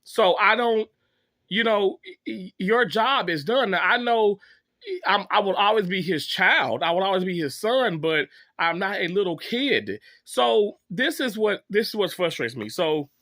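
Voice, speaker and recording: A man's voice: high-pitched, expressive, measured pace, a bit bright, no echo, clean studio sound. A man in his thirties, sick with a cough, telling a rambling, exasperated story to his viewers with many fillers and restarts. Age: 30s. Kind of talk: casual story time monologue.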